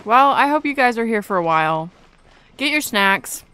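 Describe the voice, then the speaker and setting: A woman's voice: fairly high pitch, lively intonation, normal speed, pushed and bright, clear, resonant tone, rough recording. Casual, grumbling woman gamer. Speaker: gaming video host. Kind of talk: casual gameplay commentary. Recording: music in the background.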